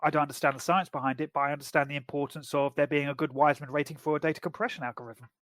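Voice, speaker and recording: A man's voice: on the high side, fairly even intonation, racing, fairly bright tone, no room sound, studio quality. A man co-hosting a podcast, casually discussing film and TV in a wordy, explanatory way. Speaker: podcast co-host.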